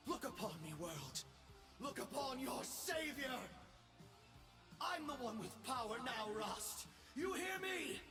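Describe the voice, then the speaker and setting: A megalomaniac young emperor from a video game, gloats in a theatrical, high voice that leaps wildly. He is slow and imperious, dropping from shouts to hushed menace, with music behind him. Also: very animated, distant mic, exaggerated voice. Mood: menacing, exultant. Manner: grandiose, arrogant, swinging between shouts and hushed menace. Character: megalomaniac young self-crowned space emperor, gloating and unhinged.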